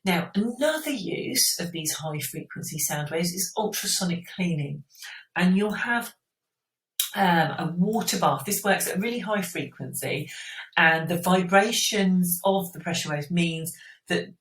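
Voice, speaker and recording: A woman's voice: on the low side, a little slow. A woman teacher giving an informal step-by-step school science explanation, often checking in with the listener. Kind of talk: explanatory school revision lesson. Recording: roomy echo, distant microphone.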